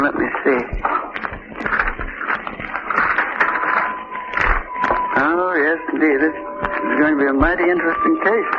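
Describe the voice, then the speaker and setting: A man's voice: a little high, highs cut off, close to an everyday voice. An actor playing a kindly, easily frightened old male doctor in 1930s radio drama style, with a quavering, stammering voice. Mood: puzzled. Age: older. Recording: scratchy old radio recording with music.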